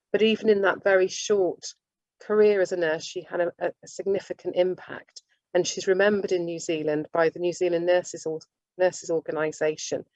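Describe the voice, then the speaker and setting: A woman giving an informal lecture, halting and often restarting her sentences. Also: on the low side, dry recording. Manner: halting, with many restarts and self-corrections. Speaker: speaker giving a talk on nursing history. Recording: dull lo-fi dry recording.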